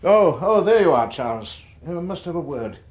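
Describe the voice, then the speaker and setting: A man's voice: fairly high pitch, very animated, moderate pace, slight background noise. An actor playing a pompous, indignant British political man in a radio drama.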